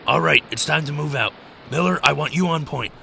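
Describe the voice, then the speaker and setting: A man's voice: on the high side, brisk, gravelly, noisy background. A man playing a stern, commanding American army captain in an amateur World War II radio drama, speaking in dry, clipped orders.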